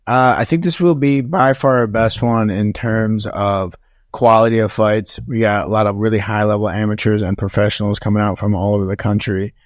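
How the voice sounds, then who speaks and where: A man's voice: fairly low pitch, fairly even intonation, fairly quick, no room sound, clean studio sound. A man, a guest who promotes fight events, speaking bluntly and matter-of-factly with frequent swearing. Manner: blunt, profane, matter-of-fact. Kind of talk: casual chat.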